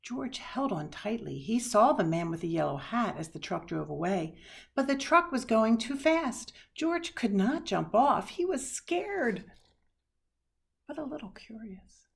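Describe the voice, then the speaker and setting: A woman reading a children's picture book aloud expressively, with dramatic pauses and hushed asides. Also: a little high, big pitch swings, gravelly, slightly rough. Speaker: storybook reader.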